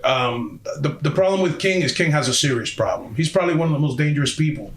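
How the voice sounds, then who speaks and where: A man's voice: fairly high pitch, lively intonation, quick pace, echoey room. A man in his forties from Miami, a podcast guest telling his story bluntly and emphatically, repeating himself and swearing. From Miami, USA. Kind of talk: candid storytelling interview.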